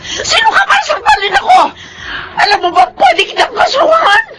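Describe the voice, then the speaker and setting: A woman's voice: extremely high pitch, big pitch swings, moderate pace, raised voice. A woman playing a haughty, indignant, combative rival in an audio melodrama. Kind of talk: melodramatic audio drama acting. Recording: very noisy recording, clicks.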